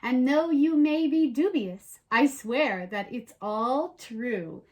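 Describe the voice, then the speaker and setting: A woman's voice: high-pitched, takes it slowly. A woman hosting a children's show, reading a picture book aloud expressively and explaining things simply. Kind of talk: expressive read-aloud storytelling with playful chat.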